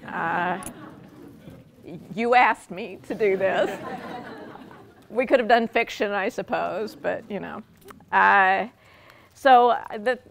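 An older woman with an American accent speaks in a slightly low voice with plenty of creak. She is giving an informal personal lecture. Her manner is wry and self-deprecating. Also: fairly bright tone. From Dallas, Texas. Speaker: university speaker. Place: lecture room with audience, indoors.

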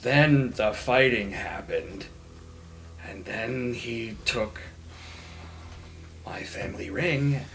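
Man as angry brooding male student, tabletop fantasy game, slow, subdued, creaky. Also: medium pitch, a bit bright, soft background music, full character voice. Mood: grim, halting. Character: Griff, brooding male student with buried rage.

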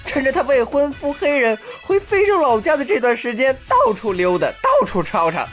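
A chatty, excitable patrol officer for a radio comedy. The talk is colloquial and blustering, with hugely swinging pitch and music underneath. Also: male voice, normal speed, exaggerated voice. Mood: exasperated. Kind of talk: comedic radio drama acting.

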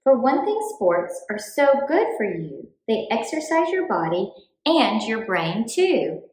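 Woman, higher voice, expressive storytime read-aloud, unhurried pacing, roomy sound. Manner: clear, deliberate, animated delivery for young children. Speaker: children's book author reading aloud.

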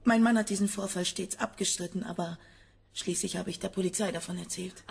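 An actress playing a sick, embittered scientist's wife in a radio drama, agitated, halting and insistent. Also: female voice, on the low side, fairly even intonation, vocal fry, slightly rough, exaggerated voice. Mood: bitter, despairing. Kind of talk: German radio drama acting.

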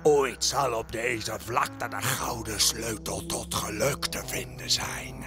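Man playing a slow-raving, deranged old robber. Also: mid-range voice, fairly even intonation, over music, close to an everyday voice. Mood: raving prophetic. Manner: raving, declaiming. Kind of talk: radio drama acting.

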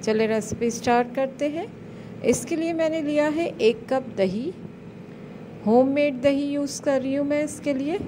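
A woman with a somewhat high voice narrates a home recipe in calm, step-by-step instructions. Her voice-over is recorded close to the mic. A steady noise and the rumble of vehicles stay clearly audible beneath her. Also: unhurried. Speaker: cooking channel host.

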